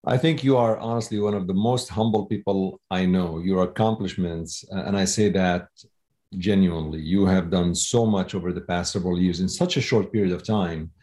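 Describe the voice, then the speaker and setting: A man hosts a medical podcast interview and talks in a chatty, effusive way with loose phrasing. His voice is lowish, mellow and warm. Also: normal speed. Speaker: podcast host.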